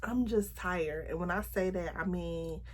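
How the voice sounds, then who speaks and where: A young woman vlogging to camera about her makeup business, chatty and frank, her voice a little raspy as she gets over a cold. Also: on the low side. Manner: chatty, frank, drawn-out emphasis. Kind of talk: casual talk to camera.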